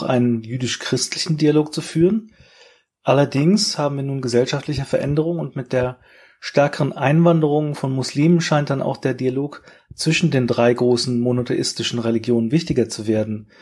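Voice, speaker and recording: A man's voice: mid-range voice, steady tone, normal speed, a little mellow, husky. A male podcast host reading a formal introduction and asking polite interview questions.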